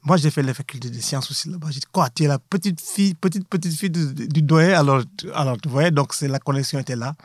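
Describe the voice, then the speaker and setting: Husky older man, measured. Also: a little high, no echo, clean studio sound. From Senegal. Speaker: guest, university professor. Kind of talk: conversational interview.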